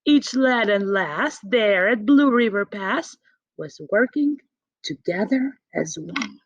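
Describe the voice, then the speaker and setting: Slow, expressive woman reading a picture book. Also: medium pitch, no room sound. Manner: dramatic pauses and emphasis, addressing a child listener. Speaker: storybook reader. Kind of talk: expressive children's picture book read-aloud. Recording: dull, muffled home recording.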